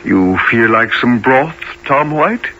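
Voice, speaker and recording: Gentle, soothing gentleman benefactor. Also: mid-range voice, animated, slow, exaggerated voice. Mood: gentle, wondering. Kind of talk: radio drama acting. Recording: dull lo-fi radio recording, faint noise.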